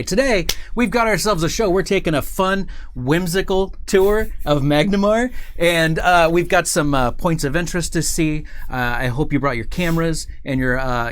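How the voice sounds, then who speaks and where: A man hosting a live stream, chatting informally and playfully with his audience as he rambles through announcements. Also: fairly high pitch.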